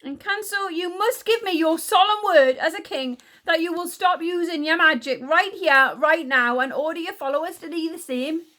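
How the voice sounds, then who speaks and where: A haughty warrior queen from a storybook, acted out by a woman reader. Her voice is high and forceful, with an imperious, indignant snap. She is hot-tempered and contemptuous. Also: normal speed, hardly any pauses. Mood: outraged.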